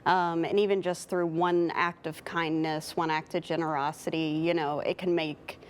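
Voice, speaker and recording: A woman's voice: low voice, flat delivery, moderate pace, a little hiss or hum. A woman answering interview questions as a theater cast member, conversational and somewhat hesitant as she thinks aloud.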